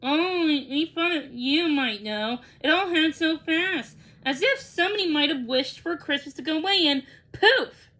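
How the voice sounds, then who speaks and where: A woman's voice: sits high, animated, moderate pace, few pauses, sharp tone, voice pushed up. A woman voicing a kindly, fretful Mrs. Claus in a storybook read-along, speaking earnestly.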